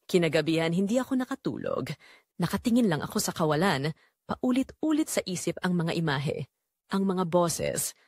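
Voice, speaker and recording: Filipina woman narrating an audio drama, solemn n emotive, pitch moves a lot, really dry sound. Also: dry recording. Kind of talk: dramatic first-person scripted narration.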